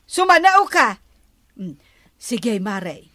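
Bossy wife, high voice, unhurried drawl, exasperated, radio comedy. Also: female voice, big pitch swings, fairly bright tone, dry recording, heavily acted. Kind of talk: Ilocano comedy radio drama.

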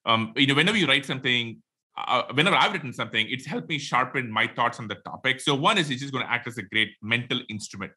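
A middle-aged man with an Indian accent, a podcast guest talking quickly and animatedly on a video call from a home room. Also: mid-range voice, husky. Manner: quick, animated, many fillers. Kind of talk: casual interview talk. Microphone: headphones, video call.

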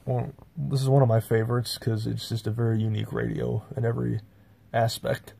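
An American man with a slightly gravelly voice giving a casual, halting, rambling video tour indoors on a handheld phone. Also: mid-range voice, little pitch movement, warm tone. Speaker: host, hobbyist collector. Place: small bedroom, indoors. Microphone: handheld phone, speaker behind the camera.

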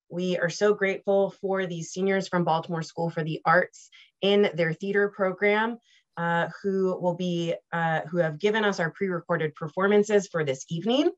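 An American woman in her thirties hosting an online event, giving welcoming announcements over a video call. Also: lowish voice. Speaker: event host and volunteer organizer. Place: small room at home, indoors. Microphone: computer mic on a video call. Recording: dull, compressed video call audio.

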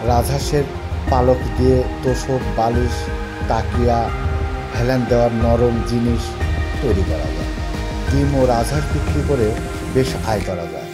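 A young man narrating and interviewing in a measured, informative way, speaking into a handheld mic outdoors at a goose farm. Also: mid-range voice. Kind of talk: read narration and field interview. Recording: outdoor voice with music bed.

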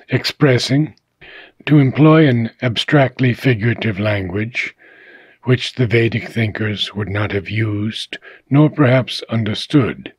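A man reading a philosophical book aloud in a measured, deliberate way, pausing between short phrases, with a husky voice. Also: mid-range voice, darkish tone, no echo. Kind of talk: slow, deliberate audiobook reading.

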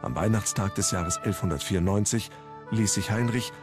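A man's voice: fairly low pitch, normal speed, music in the background. A man reading scripted documentary narration as the narrator of a history programme.

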